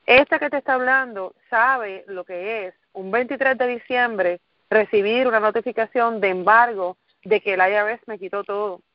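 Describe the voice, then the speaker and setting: A middle-aged Puerto Rican woman speaks in a direct, earnest motivational manner and leans on repeated phrases to drive each point home. Her voice sits in an ordinary middle pitch, and the recording is dry and dull, like lo-fi call audio. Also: moderate pace, no room sound. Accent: Puerto Rican Spanish. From Puerto Rico. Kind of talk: motivational sales talk. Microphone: recorded call or conference line.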